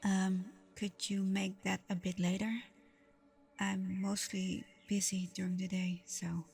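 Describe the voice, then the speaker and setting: A woman acting a protective, scolding but tender vampire girlfriend in an intimate ASMR roleplay, hushed and often slipping into breathy whispers. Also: mid-range voice, little pitch movement, slow, exaggerated voice. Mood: reluctant, softening.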